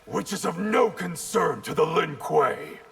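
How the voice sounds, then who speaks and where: An actor playing a cold, arrogant ice assassin with a husky, gravelly voice, making haughty threats in a video game. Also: male voice, a little high, animated, measured pace, very noisy, heavily acted. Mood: cold menace. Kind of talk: video game fight banter.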